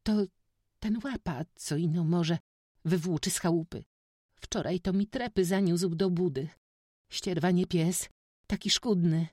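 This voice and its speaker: A man's voice: high-pitched, unhurried, a little mellow, no echo, studio quality, pitched above the performer's own voice. A man voicing a frightened young peasant wife who makes plaintive, whining excuses in an audiobook story.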